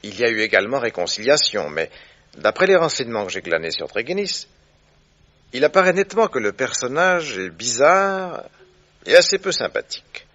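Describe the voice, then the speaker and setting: An actor playing a keen, courteous gentleman detective in a French radio drama, speaking in a deliberate, theatrical way. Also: medium pitch, big pitch swings. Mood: assured, explaining. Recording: dull, muffled old radio recording.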